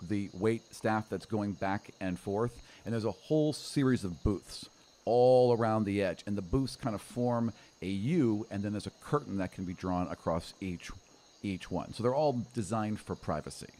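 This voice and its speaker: A man's voice: fairly low pitch, moderate pace, mellow, a little hiss or hum. A middle-aged man improvising scene narration as a game master, colloquial and vivid, close on a studio microphone. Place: small indoor podcast studio. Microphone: close podcast microphone on a stand.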